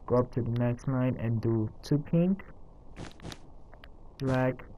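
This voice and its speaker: Slow-paced man giving casual tutorial. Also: mid-range voice, lo-fi sound, slight background noise. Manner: halting, instructional, counting out items. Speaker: tutorial host. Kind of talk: casual step-by-step game tutorial narration.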